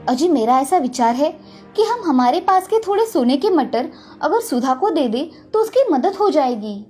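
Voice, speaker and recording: A woman voicing a kind, helpful village wife, gentle and earnest, in a dramatised children's moral story. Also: high-pitched, sing-song, normal speed, soft background music. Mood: gentle pleading.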